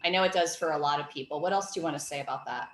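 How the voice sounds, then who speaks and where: A woman host with a lowish voice leads a casual conversation and praises her guests effusively. The room sounds echoey, with faint noise underneath. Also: racing. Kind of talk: casual podcast chat.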